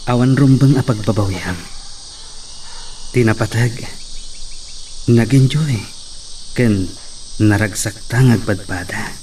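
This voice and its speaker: A man's voice: fairly low pitch, slow pace, long pauses, mellow, slight background noise. A man playing a sweet-talking young boyfriend. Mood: reassuring. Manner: reassuring, sweet-talking, mixes English. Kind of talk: melodrama acting.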